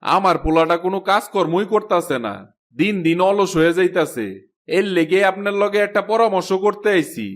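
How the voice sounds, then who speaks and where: A man's voice: sits high, normal speed, lightly acted. A man playing a weary, worried village farmer father in a cartoon, lamenting in an eastern Bengali accent. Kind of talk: cartoon folk-tale voice acting.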